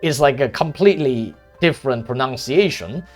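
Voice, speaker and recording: A man's voice: mid-range voice, expressive, moderate pace, faint music behind. A man whose first language is Cantonese, chatting casually while streaming a strategy game, rambling with many restarts and frequent swearing.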